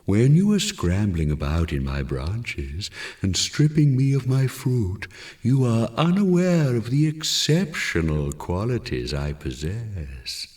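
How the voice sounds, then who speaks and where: A man voicing a haughty, easily offended talking apple tree in a children's story, with grandly drawn-out, correct speech. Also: lowish voice, big pitch swings, darkish tone, distant mic, voice pushed up. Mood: boastful.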